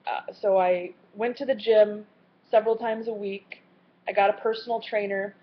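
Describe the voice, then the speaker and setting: A woman in her late twenties talking in a personal video diary, earnest and candid, with a halting way of speaking. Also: medium pitch, fairly even intonation. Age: late 20s. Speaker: vlogger. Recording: slightly muffled lo-fi audio.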